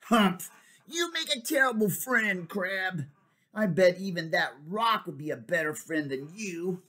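A reader voicing a small, friendly jellyfish in a sing-song, high storybook voice. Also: big pitch swings, slow pace, pushed and bright, heavily acted. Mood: huffy. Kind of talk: storybook character voice.

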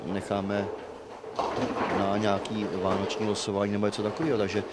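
A man organizing a bowling tournament, talking casually into a handheld mic with relaxed fillers. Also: on the low side. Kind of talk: casual on-camera bowling chat. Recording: noisy crowded room. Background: loud crowd chatter.

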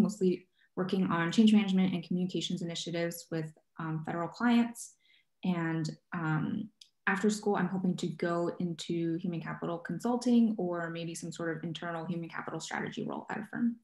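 A woman's voice: lowish voice, a little mellow. A young woman introducing herself as a student panelist over a video call. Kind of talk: self-introduction on a webinar. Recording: echoey room on video call.

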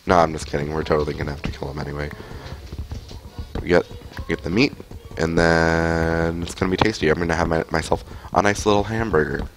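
A man's voice: low voice, steady tone, fairly quick, soft background music. A young man with a cold, chatting casually as he streams a game on a headset mic at home, rambling with lots of fillers. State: has a cold. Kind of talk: casual gameplay commentary. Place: home room, indoors.

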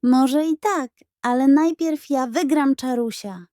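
A woman's voice: sits high, slow pace, mellow, no echo, studio quality. A woman voicing a competitive, teasing young boy in a children's audio story. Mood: defiant.

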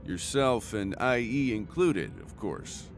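An actor playing a sincere, steady young mercenary commander in a video game, plain-spoken and earnest. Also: male voice, medium pitch, slow pace, over music. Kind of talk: video game cutscene acting.

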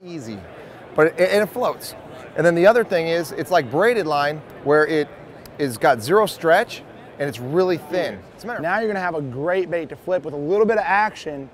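A man's voice: on the high side, lively intonation, a little fast. A man, a pro angler in promo clips, explaining fishing tips in a conversational way.

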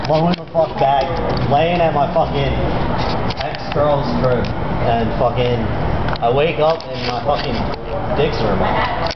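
A man's voice: medium pitch, a little slow, pauses often. A young man talking casually and profanely with friends, recorded on a handheld camera in an indoor mall. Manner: profane, loose and rambling. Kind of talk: casual chat among friends. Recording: muffled camcorder audio, echoey mall, loud noise.

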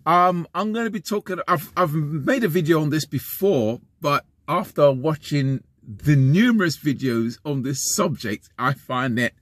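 A man's voice: on the high side, unhurried, no echo. A man in his fifties with a West London accent, talking casually to camera from inside a van, rambling and restarting his sentences. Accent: West London English. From London, England. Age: 50s. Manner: rambling, repeats and restarts, animated gestures. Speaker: vlogger. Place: inside a parked van, small enclosed space. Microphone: camera close in front of face.

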